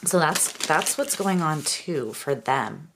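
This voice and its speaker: A woman giving a casual tarot reading to her viewers, hoarse from losing her voice, often clearing her throat and meandering with fillers. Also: low voice, expressive, takes it slowly, noisy background. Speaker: tarot reader.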